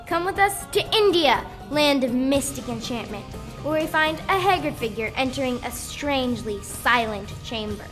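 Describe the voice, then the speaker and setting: A woman's voice: high voice, animated, moderate pace, a bit bright, soft background music. A teenage girl acting as a theatrical narrator of a comic-book radio play. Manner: theatrical, announcing.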